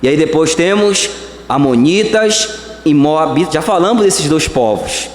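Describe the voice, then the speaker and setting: A Brazilian man preaching a sermon as pastor, swinging between slow emphatic words and quick phrases. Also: on the high side, lively intonation, a little hiss or hum. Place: church hall. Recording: echoey church hall.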